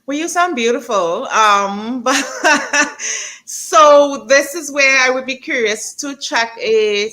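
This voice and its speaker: A woman from Trinidad, a doctor, answering health questions in an interview with a measured, explanatory manner. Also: medium pitch, sharp tone. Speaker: doctor guest.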